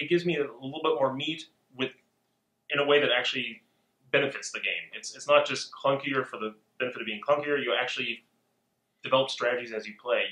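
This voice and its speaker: A man with a bright, forceful voice chats through a board game review with easy pauses and fillers. His voice rings in an echoey room. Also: mid-range voice. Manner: relaxed, halting with fillers. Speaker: podcast co-host.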